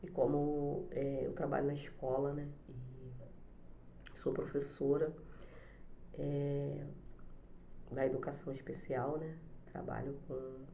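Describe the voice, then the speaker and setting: Middle-aged woman, low mellow voice, hoarse from a cold, hesitant home vlogger; echoey room, faint noise. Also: level tone, slow, long pauses. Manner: soft, hesitant, many fillers. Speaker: channel host, special education teacher. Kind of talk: casual devotional vlog chat. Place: home, morning coffee.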